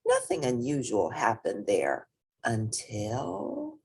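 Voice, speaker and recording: A woman reading a children's picture book aloud as an expressive storyteller. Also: a bass voice, slow, vocal fry, slightly rough.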